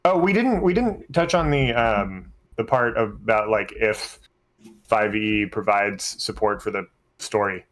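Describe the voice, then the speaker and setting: A man's voice: lowish voice, expressive, measured pace. A man co-hosting a casual tabletop gaming discussion as a game master, telling stories in a rambling, freely swearing way. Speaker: podcast co-host and game master; works at a school.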